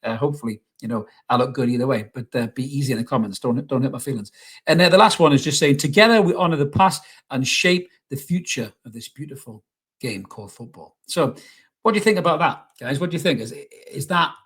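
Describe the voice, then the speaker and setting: Fast-talking, husky man chatting about football. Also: mid-range voice, a bit bright. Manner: chatty, rambling, rhetorical questions, blunt swearing. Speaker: YouTube football channel host. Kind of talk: casual opinionated fan commentary to camera.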